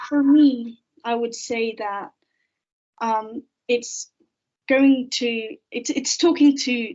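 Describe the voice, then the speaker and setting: A teenage student from Spain, a native Spanish speaker, answering hesitantly with many pauses and restarts on a video call. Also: female voice, a little high. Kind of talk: casual video-call discussion. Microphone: laptop microphone on a video call. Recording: dull lo-fi laptop audio.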